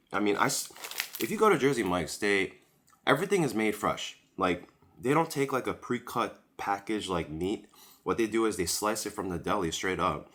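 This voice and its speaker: Male food vlogger, very deep voice, congested from a cold, chatty eating commentary, camera mic at home. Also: a bit bright. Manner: chatty, rambling, leisurely tasting commentary. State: stuffy, recovering from a cold. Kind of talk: casual food review while eating. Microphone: camera-mounted shotgun mic.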